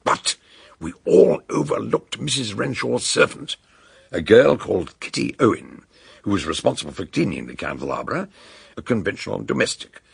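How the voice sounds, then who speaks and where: An actor playing an eccentric, wordy older British detective doctor in a radio drama, genial and courteous, with a gravelly, husky voice. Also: male voice, on the low side, sing-song, no echo, full character voice. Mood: grave, deliberate. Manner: wordy, deliberate, old-fashioned courtesy.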